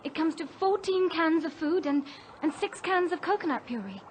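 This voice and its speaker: A woman's voice: very high-pitched, lively intonation, moderate pace, slight background noise. An actress playing a practical, concerned woman among crash survivors in a radio drama, matter-of-fact. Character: practical female crash survivor keeping track of supplies.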